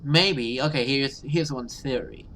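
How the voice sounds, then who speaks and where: A man giving casual let's play commentary and reading game text aloud, halting and repeating himself, given to exclaiming. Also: mid-range voice, a bit bright, a little hiss or hum. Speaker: gaming video host.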